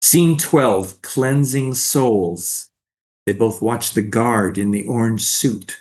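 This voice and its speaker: A man's voice: mid-range voice, slow, darkish tone. A man reading out scripted stage directions as narrator of a play.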